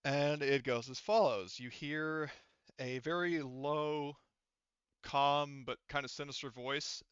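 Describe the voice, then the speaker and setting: A man's voice: on the high side, steady tone, takes it slowly, fairly bright tone, clear, resonant tone, no echo, dull audio. A man narrating a tabletop game as game master in a casual, improvised, rambling and sometimes sweary way.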